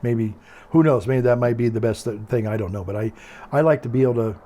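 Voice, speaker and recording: An older man with a calm, quiet voice, chatting casually in a meandering, hesitant way and often repeating himself. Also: mid-range voice, brisk. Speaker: video blogger. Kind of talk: casual rambling monologue.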